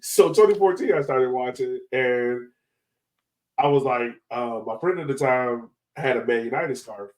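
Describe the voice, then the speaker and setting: A man's voice: medium pitch, a little slow, darkish tone, poor audio. A man in his thirties with an American accent hosting a casual podcast chat in a rambling, jokey, slangy way. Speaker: podcast host, interviewer.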